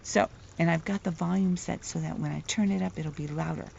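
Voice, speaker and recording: A middle-aged woman with a soft voice, telling a playful, chatty vlog story outdoors and laughing at her own jokes. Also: fairly low pitch, fairly even intonation, a little fast, creaky, slight background noise. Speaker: vlogger. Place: outdoors, near the water. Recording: dull lo-fi outdoor recording.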